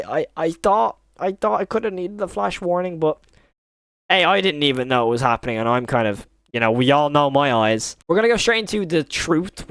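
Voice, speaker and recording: A man's voice: mid-range voice, a bit bright, smooth, no room sound. A man hosting a reaction video, giving casual, rambling, informal commentary with some mild swearing.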